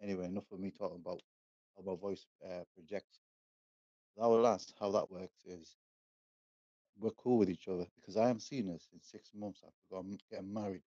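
Brash, monotone man on a call. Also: low voice, brisk, dry recording. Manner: swears freely, brash teasing. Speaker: podcast guest, rapper. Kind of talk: casual banter. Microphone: online call. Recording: dull, dry online call audio.

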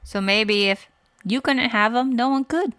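A woman playing a blunt, serious accuser in improvised comedy, deadpan and pointed. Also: fairly high pitch, a little slow, no echo. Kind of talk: improvised comedy character acting.

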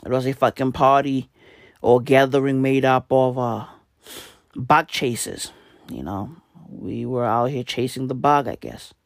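A young man with a hoarse, raspy voice talks to the camera in a loose, rambling way. He swears freely and leans on fillers as he goes. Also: medium pitch, fairly even intonation, measured pace, no room sound, clean studio sound. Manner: profane, rambling, laid-back, many fillers. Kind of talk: casual rambling monologue.

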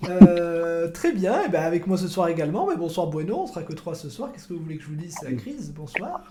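A man's voice: a little high, big pitch swings, fairly quick, a crowd in the background. A man hosting a casual football podcast, rambling, joking and repeating his words as he talks. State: recovering from illness. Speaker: podcast host. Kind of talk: casual chat.

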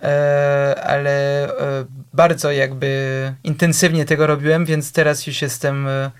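A man's voice: fairly high pitch, completely flat delivery, unhurried, smooth. A young man, a music producer and interview guest, speaking hesitantly and modestly with many fillers. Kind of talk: casual conversation.

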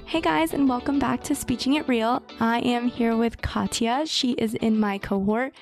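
A woman's voice: a little high, normal speed, soft background music. A young woman hosting an interview podcast, introducing the show and talking with her guest. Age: young adult. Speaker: podcast host.

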